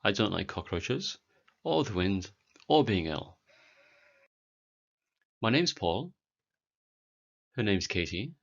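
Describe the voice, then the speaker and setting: A British man in his forties teaching a beginner English lesson, speaking clearly and deliberately for learners. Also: on the low side, very animated, highs cut off. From Britain. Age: 40s.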